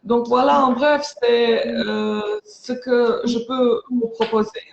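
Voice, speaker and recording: Slow, hesitant woman, echoey sound. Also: fairly high pitch, steady tone, gravelly, muffled recording. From Croatia. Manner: hesitant, many filler sounds. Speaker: travel agency owner. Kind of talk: self-introduction in an interview.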